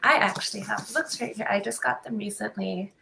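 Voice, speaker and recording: A woman's voice: medium pitch, fairly quick, gravelly, pushed and bright. A woman author on a panel giving a casual, unprepared introduction in a hesitant, self-deprecating way. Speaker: debut author, panel guest.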